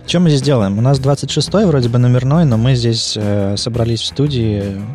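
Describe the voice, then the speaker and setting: A man chatting as a podcast co-host, often pausing hesitantly as he talks. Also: medium pitch, darkish tone, faint music behind. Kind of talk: casual chat.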